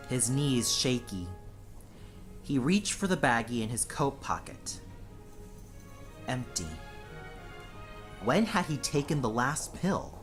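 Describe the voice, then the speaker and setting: A man narrating an audio play in a dramatic scripted style, solemn and theatrical. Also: mid-range voice.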